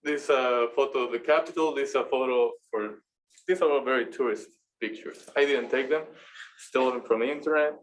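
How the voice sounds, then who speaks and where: Man, halting class talk. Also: mid-range voice. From Venezuela. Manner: halting, searching for words. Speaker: master's student presenter. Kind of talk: class presentation.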